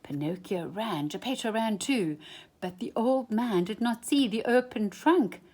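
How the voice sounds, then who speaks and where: A woman storyteller reading a children's storybook expressively, with deliberate, dramatic emphasis. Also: mid-range voice, animated, a little mellow.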